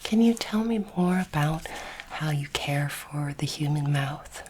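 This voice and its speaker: Whispering woman, soft ASMR doctor roleplay, noisy recording. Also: sits low, expressive. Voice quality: breathy, mostly unvoiced whisper. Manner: gentle, reassuring, measured, formally polite. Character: courteous, curious alien space doctor examining a human. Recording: noisy close recording, clicking sounds.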